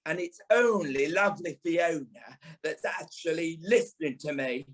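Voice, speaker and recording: Man playing a high-voiced, camp British dance teacher. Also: animated, unhurried. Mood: exasperated, bossy. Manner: theatrical, sarcastic, scolding. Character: camp, sharp-tongued male dance teacher, former stage dancer. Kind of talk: audio play monologue and dialogue.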